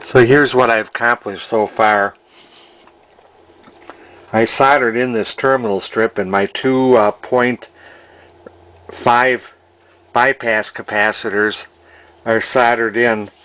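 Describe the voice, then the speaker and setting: A man giving an informal hands-on repair demonstration in a halting way, thinking aloud as he explains. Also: fairly low pitch, steady tone, a bit bright, rough recording. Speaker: hobbyist radio repairer, presenter. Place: indoor workshop at a workbench.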